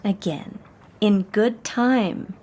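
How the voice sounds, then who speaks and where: An actress playing a poised, sharp-witted young socialite who works secretly as a detective, composed and arch in a radio drama. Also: female voice, mid-range voice, extremely slow, husky. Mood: knowing, deliberate. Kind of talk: audio drama acting.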